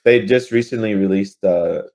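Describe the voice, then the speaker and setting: A man's voice: on the low side, moderate pace, darkish tone. A man co-hosting a podcast in a slangy, informal, chatty way. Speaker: podcast co-host. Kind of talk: casual chat.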